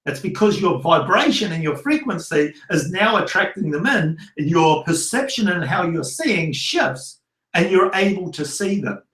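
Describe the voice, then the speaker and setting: A man's voice: sits high, big pitch swings, normal speed, fairly bright tone. Rambling New Zealand man, echoey room. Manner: loose and rambling, with many restarts and fillers. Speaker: prosperity coach. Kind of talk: online masterclass talk.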